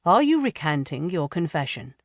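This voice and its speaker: Woman voicing a dry young marquis, measured, low, close dry sound. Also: sing-song, no room sound. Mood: composed. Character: strong young nobleman, dry and composed.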